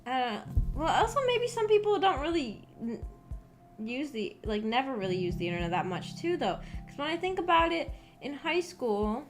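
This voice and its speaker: A woman's voice: sits high, sing-song, moderate pace. Rambling young woman streamer, casual chat. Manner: rambling, hesitant, informal. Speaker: VTuber streamer. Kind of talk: casual livestream chat with viewers. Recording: background music under the voice. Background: background music.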